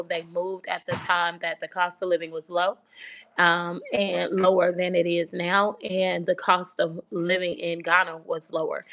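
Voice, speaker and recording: A woman with a soft, childlike baby voice chatting casually to viewers on a livestream she hosts. She rambles, repeats herself and talks about her faith. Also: fairly low pitch, gravelly, slight background noise. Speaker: host of a relocation livestream. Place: home abroad in Kenya. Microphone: phone livestream.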